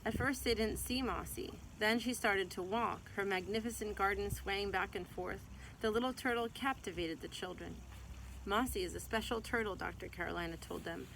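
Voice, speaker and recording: A woman reading a children's picture book aloud in an expressive storytelling way, stretching out some words. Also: mid-range voice, normal speed, creaky, slight background noise, rough recording.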